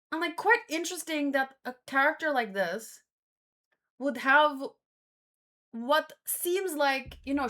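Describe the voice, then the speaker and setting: Woman, high voice, very slow pace, casual live reaction commentary, expressive host. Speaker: host of a manga read-along stream.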